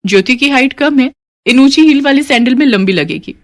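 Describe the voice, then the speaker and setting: A woman acts out a lazy, haughty young sister-in-law from the city. She is dismissive and scheming, peppering her talk with English words in animated storybook style. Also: high-pitched, brisk, voice pushed up. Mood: smug, persuasive. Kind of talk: animated moral story character dialogue.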